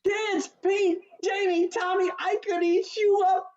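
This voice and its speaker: A woman's voice: very high-pitched, level tone, a little slow, darkish tone. A woman playing an emotional young family man in an amateur radio play, recorded over a video call. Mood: overjoyed. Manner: emotional, exclamatory. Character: George, desperate, then joyful young family man. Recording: muffled video-call audio.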